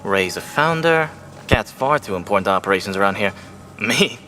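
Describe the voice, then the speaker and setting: A man's voice: lowish voice, lively intonation, brisk, bright, forceful tone, a little hiss or hum. An actor playing a fussy, self-important male scientist in a video game, with a smug, technical way of talking. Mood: defensive, smug.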